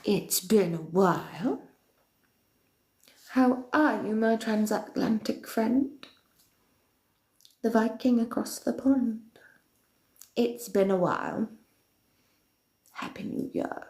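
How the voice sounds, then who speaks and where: Woman playing a whispering dark queen. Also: fairly high pitch, animated. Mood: sinister, theatrical. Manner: theatrical, slow, often breathy whisper. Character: centuries-old female queen of darkness, villainous, theatrical. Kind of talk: in-character chat with viewers. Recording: roomy echo.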